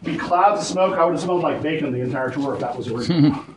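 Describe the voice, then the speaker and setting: A man's voice: a little high, quick pace. A man leading a cave tour, chatty and joking as he gives history and safety warnings. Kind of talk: guided tour talk. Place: inside a large echoing cave. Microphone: camera carried by a visitor, guide off to the side. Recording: echoey cave, distant voice, noisy.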